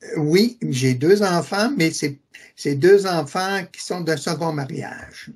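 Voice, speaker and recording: A man's voice: fairly high pitch, slow, breathy edge. A middle-aged man from Quebec City with a Québécois French accent, earnestly giving testimony as an interview guest, repeating his affirmations and hesitating often. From Quebec City, Canada.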